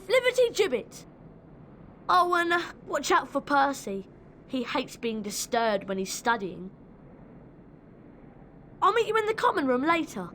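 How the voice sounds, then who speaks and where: A woman's voice: high voice, sing-song, unhurried, long pauses, fairly bright tone, slight background noise, heavily acted. A woman voicing a cheeky young English schoolboy in a video game. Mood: eager.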